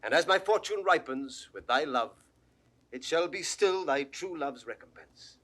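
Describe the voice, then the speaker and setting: An actor playing a proud, courteous banished lord in a Shakespearean audio drama, speaking formally with a British accent. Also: male voice, fairly high pitch, animated, a bit bright. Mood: gracious, earnest. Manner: formal, measured, oratorical. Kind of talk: classical Shakespearean stage acting.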